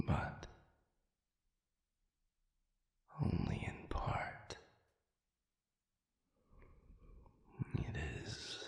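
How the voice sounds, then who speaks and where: A man voicing an ancient, solemn celestial being in an audio roleplay, speaking in a breathy whisper with formal, archaic phrasing and halting pauses. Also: rumbling low, fairly bright tone, full character voice. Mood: halting, unsettled. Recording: reverberant, echoey sound.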